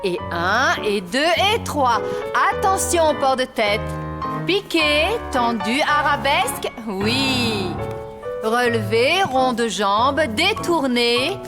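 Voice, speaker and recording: Elegant, high-voiced ballet mistress. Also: female voice, animated, takes it slowly, music in the background. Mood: brisk, exacting. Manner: formal, precise, theatrical. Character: elegant, exacting yet kindly female ballet teacher. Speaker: voice actor. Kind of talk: French animated series dubbing.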